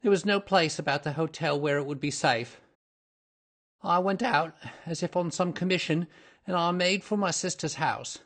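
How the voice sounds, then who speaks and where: A man's voice: normal speed, a bit bright. A man voicing a terrified, cringing small-time thief in an audiobook story, with a crackling, pleading voice. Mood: nervous confession. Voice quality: crackling, shrill when pleading.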